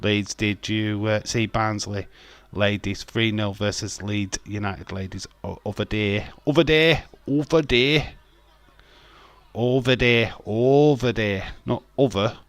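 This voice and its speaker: A man's voice: lowish voice, slow pace, dry recording. A middle-aged man with a Yorkshire accent, hosting a casual watch-along stream, chatting loosely, reading viewer comments and laughing often between rambling restarts. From Yorkshire, England. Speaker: livestream host.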